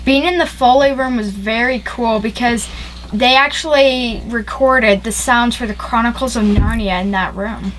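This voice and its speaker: A woman's voice: a little high, normal speed, a bit bright, slight background noise, poor audio. A woman talking casually as a presenter in a vlog.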